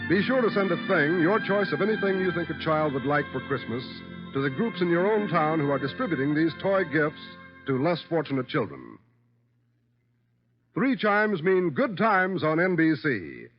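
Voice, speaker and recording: A man's voice: a little high, very animated, normal speed. American old-time radio announcer man over music. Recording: dull vintage radio broadcast recording.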